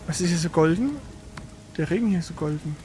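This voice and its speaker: An excitable gamer commentates casually on their own play, with pitch that jumps around a lot. The language is colloquial, with exclamations and mild swearing. There is clear noise behind the voice. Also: quick pace.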